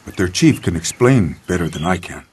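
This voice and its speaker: An actor playing a wary, sardonic guide and companion in a game cutscene, serious and dry in delivery. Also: male voice, low-pitched, expressive, fairly quick, a little mellow, slightly rough, heavily acted. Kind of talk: dramatic game cutscene acting.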